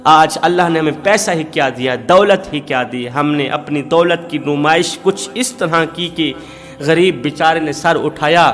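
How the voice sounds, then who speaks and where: A man's voice: on the high side, moderate pace, a bit bright, soft background music. A man preaching and telling moral stories in an emphatic style, building his points through rhetorical repetition. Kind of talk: sermon with moral storytelling. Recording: long hall reverb.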